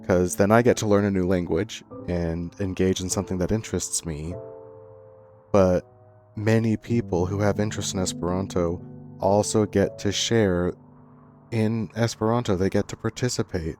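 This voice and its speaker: Young man, very low voice, earnest and reflective, halting phrasing, soft music underneath. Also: fairly even intonation. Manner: earnest, thoughtful, with pauses and restarts. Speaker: content creator. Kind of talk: reflective storytime monologue. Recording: faint background music.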